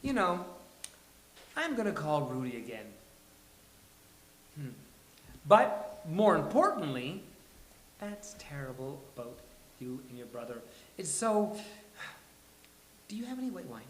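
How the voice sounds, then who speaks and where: A man's voice: fairly high pitch, big pitch swings, measured pace, pauses often, a bit bright. A man playing a flamboyant, petulant, self-absorbed best friend in a live sitcom table read, with theatrical emphatic bursts. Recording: roomy live venue with faint hiss.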